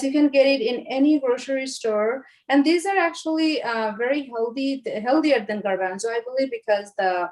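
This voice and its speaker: A woman in her forties from Bangladesh, explaining home recipes in a casual, halting way with lots of fillers and restarts. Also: on the high side, darkish tone, rough recording. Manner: hesitant, many fillers and restarts. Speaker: home cook guest. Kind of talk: casual cooking demonstration.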